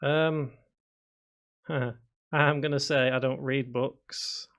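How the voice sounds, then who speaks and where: A man's voice: mid-range voice, steady tone, drawn-out words, a bit bright. A man in his thirties from Liverpool with a Scouse accent, chatting casually on a live stream as he reads viewer comments aloud and answers them. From Liverpool, England. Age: 30s. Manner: reads chat aloud and answers, with restarts and fillers. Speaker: game development streamer.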